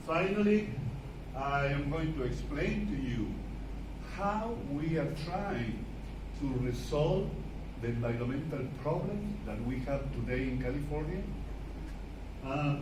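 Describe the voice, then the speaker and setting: An older man from Argentina, a native Spanish speaker with an Argentine accent, gives an academic seminar as a guest specialist in halting, deliberate English. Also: mid-range voice. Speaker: animal nutrition specialist giving a guest lecture. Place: seminar room before an audience. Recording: echoey room, distant mic, background noise.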